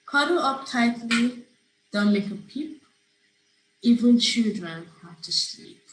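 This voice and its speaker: A young woman from Nigeria, a public health student, reading a rhyming children's book aloud over a video call in a steady, friendly way. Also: a little high, slow. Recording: roomy video-call audio with faint hiss.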